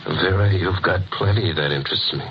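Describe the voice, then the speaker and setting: A hard-boiled, uneasy hearse driver played by a man in a vintage radio noir. His voice sits low, wry and wary, buried in heavy noise on a muffled old recording. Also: fairly quick, lightly acted. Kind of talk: old-time radio crime drama acting with first-person narration.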